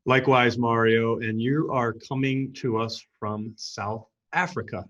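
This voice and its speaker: A man's voice: medium pitch, steady tone, measured pace, a bit bright, lo-fi sound. A man hosting an interview podcast, asking questions politely and responding with brief affirmations. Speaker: podcast host.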